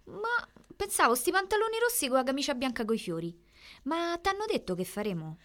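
An actress playing an excitable, naive teenage girl with a Roman accent, gushing and colloquial, in a radio play. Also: high-pitched, brisk. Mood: excited.

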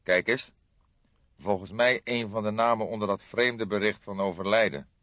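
Man playing a low-voiced, wry old detective. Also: fairly bright tone, no echo, dull audio, close to an everyday voice. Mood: matter-of-fact. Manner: dry, deliberate, wry. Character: old grizzled male police detective, wry and calm.

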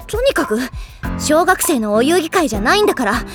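A defensive, prickly teenage girl in an anime audio drama. She is curt and snappish in a high, very lively voice. There is faint music underneath. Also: fairly quick. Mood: irritated.

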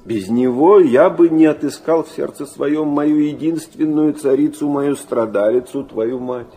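An actor playing an anguished, idealistic middle-aged nobleman in a radio drama, confiding and philosophizing in a theatrical, halting manner. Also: fairly high pitch, dark tone, close to an everyday voice. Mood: confessional, tender.

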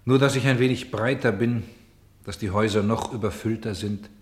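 A solemn male narrator, played by an actor in a German radio drama. His voice sits fairly low and carries through a very roomy space, with measured, declaimed phrasing. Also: fairly even intonation, lightly acted. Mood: solemn, sorrowful. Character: narrator turned player, voicing cities, streets and houses. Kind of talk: German radio play acting.

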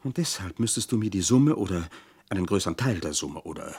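An actor playing a weary, self-pitying old servant in a radio play, husky, formal and florid in a plaintive way. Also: male voice, sits low, lively intonation, a little fast, darkish tone, full character voice. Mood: pleading. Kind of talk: German radio play acting.

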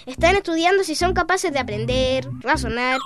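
A curious Chilean youngster in a radio play. The voice is extremely high and explains things keenly. Music is clearly heard underneath. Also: female voice, expressive, moderate pace. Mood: explaining. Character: bright, curious youngster who explains science news. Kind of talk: scripted radio drama acting.

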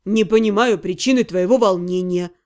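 A woman's voice: medium pitch, moderate pace, a little mellow, voice pushed down, close to an everyday voice. A woman voicing a sleepy, grumpy young male necromancer who grumbles and teases. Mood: teasing. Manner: grumbling, drowsy, teasing.

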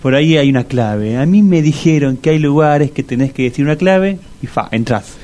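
A man's voice: on the high side, animated, moderate pace, warm tone, close to an everyday voice. An actor playing an earnest, fussy man who speaks in a matter-of-fact way with a Rioplatense accent. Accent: Rioplatense Spanish.

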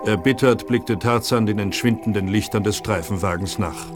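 A man narrates a detective radio play. His voice is on the low side, reading scripted storytelling over clearly audible music. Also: normal speed. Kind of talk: scripted narration of a youth detective audio drama.

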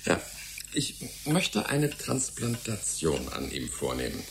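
Radio drama: learned middle-aged gentleman scientist, low and gravelly, fatherly, relishing his phrases. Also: very animated, slow, slight background noise, exaggerated voice. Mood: genial, absorbed. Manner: erudite, savoring his words, chuckling. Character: genial, enthusiastic older titled scientist, Michael's fatherly senior. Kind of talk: German radio drama dialogue.

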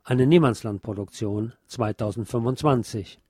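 A man presents a scripted literary biography in a measured, formal, carefully enunciated radio narration. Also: mid-range voice, darkish tone, a little hiss or hum.

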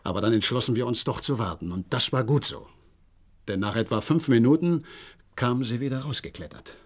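A man's voice: mid-range voice, brisk, close to an everyday voice. A man acting in a German radio play as an agitated horse trainer, eager and deferential as he gives a dramatic account. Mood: earnest. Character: agitated horse trainer reporting a mystery, deferential male servant.

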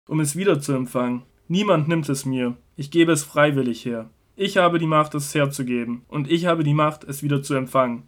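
A calm male teacher and shepherd figure, played by an actor in a biblical audio drama. The voice is a little higher than average for a man. He speaks in measured, earnest declarations with quiet authority. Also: natural voice. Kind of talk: scripted Bible audio drama.

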